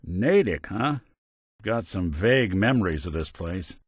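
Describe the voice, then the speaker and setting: World-weary robot private eye, video game. Fairly low pitch, close dry sound, wry hard-boiled delivery, loyal at heart. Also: male voice, animated, unhurried, breathy edge, no room sound, full character voice. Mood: wry, matter-of-fact. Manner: wry, understated, old-fashioned hard-boiled phrasing. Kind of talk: video game character voice lines, film noir detective acting.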